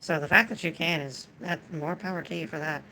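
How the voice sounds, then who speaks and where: A woman's voice: low voice, fairly quick, creaky, sharp tone, a little hiss or hum, rough recording. A woman whose first language is Japanese, chatting casually and profanely with viewers while streaming a game.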